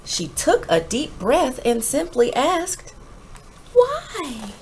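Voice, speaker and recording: A woman reading a children's picture book aloud in an expressive, animated way with dramatic emphasis. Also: high-pitched, slow, a little hiss or hum.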